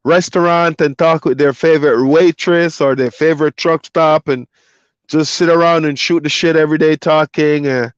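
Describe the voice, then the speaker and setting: A man's voice: on the high side, no echo. Laid-back man streaming, dull dry audio. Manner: laid-back, loose, reads chat comments aloud. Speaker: trucker hosting a live stream. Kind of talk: casual live stream chat.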